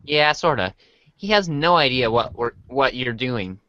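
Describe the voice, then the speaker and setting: Woman playing a lively, bemused teenage boy. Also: rumbling low, measured pace, dry recording, muffled recording, exaggerated voice. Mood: offhand. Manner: hesitant, casual, bemused. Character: laid-back, slightly awkward teenage boy, good-natured and bemused. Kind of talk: amateur reader's theater.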